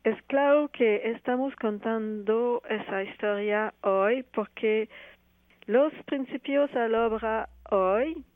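A woman, a playwright and actress speaking Spanish as a second language, answering interview questions haltingly with many restarts. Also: on the high side, dry recording. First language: not Spanish. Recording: tinny phone line.

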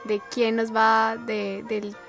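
A young woman from Colombia, a ballet dancer being interviewed on the radio, who talks haltingly and often repeats her words. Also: on the high side, little pitch movement, music in the background. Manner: hesitant, repeats words while explaining.

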